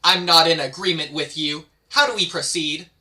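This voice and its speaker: Troubled, stubborn lost soul in a fantasy drama read by a man, bright forceful tone. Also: a little high, moderate pace. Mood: defiant. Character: troubled, stubborn amnesiac man stuck in purgatory. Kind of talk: dramatic script reading.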